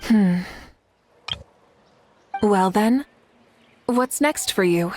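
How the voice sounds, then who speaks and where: A woman playing a proud warrior leader in a game cutscene, speaking in a measured, dignified, gracious way. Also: mid-range voice, animated, darkish tone, faint music behind. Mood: curious. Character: proud female warrior leader of a fire nation. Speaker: game character.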